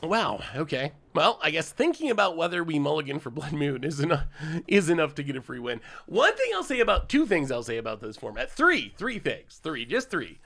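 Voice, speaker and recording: A man's voice: a little high, very animated, brisk, vocal fry, pushed and bright. A man streaming a card game and chatting casually with his viewers, a little congested from a cold, laughing often as he plays. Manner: chatty, laughs often, thanks chat subscribers. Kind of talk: casual live-stream commentary while playing.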